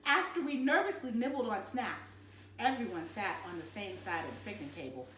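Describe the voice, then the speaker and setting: A woman reading a children's novel aloud in an animated, dramatic storytelling manner. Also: medium pitch, moderate pace. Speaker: read-aloud narrator. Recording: roomy, distant mic, faint noise.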